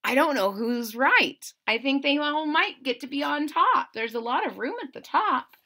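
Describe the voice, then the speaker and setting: A woman's voice: high voice, expressive, moderate pace, pushed and bright. A woman reading a children's story aloud expressively, with asides and questions to her listeners. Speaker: storybook reader.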